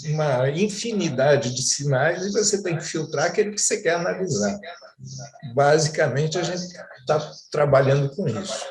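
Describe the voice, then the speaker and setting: A man's voice: fairly high pitch, lively intonation, normal speed, reverberant. A Brazilian man hosting an interview, who speaks politely and reads out greetings from viewers. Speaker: interviewer, professor.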